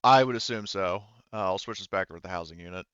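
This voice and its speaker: Low-voiced man running a tabletop game, slightly muffled. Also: a bit bright, clear, resonant tone, no room sound. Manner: halting, thinking aloud. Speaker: game master. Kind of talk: running a tabletop roleplaying game, casual talk.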